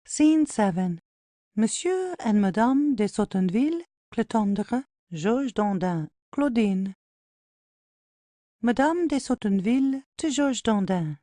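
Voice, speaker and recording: Woman, scripted narration, stage directions, medium pitch, soft mellow tone, dry recording. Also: lively intonation, slow, dry recording.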